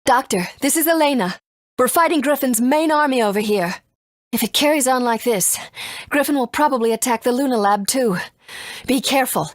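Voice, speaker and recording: A woman's voice: high-pitched, lively intonation, moderate pace, no room sound. Grave, tender warrior mother. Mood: urgent. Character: grave, tender mother leading rebel fighters. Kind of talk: English video game voice acting.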